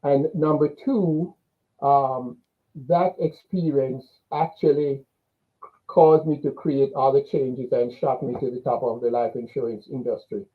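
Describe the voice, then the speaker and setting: A man's voice: mid-range voice, fairly even intonation, unhurried, narrow, tinny audio. An older man from Jamaica with a Jamaican accent, telling his own story as a guest in an interview. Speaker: interview guest, business coach.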